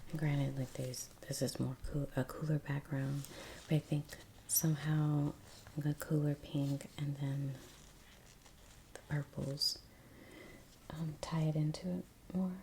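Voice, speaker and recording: Soft, slow, low half-whispering woman. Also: fairly even intonation, gravelly, a little hiss or hum, poor audio. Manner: unhurried, halting, trailing pauses. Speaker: ASMR painting video creator. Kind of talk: ASMR, soft-spoken casual chat while painting. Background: soft brush sounds.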